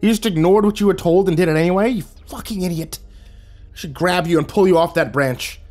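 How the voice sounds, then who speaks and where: A man's voice: sits high, fairly quick, darkish tone, faint music behind, pitched above the performer's own voice, lightly acted. Man playing an irritable, scared young survivor. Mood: furious. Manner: snapping, hissing, growling, sarcastic insults. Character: foul-mouthed, irritable young male survivor, scared and bitter. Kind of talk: audio horror story character dialogue.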